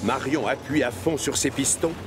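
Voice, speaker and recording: A man narrating a children's cartoon in a measured, clearly enunciated storytelling style. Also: medium pitch, background noise, lightly acted. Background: music and train sounds.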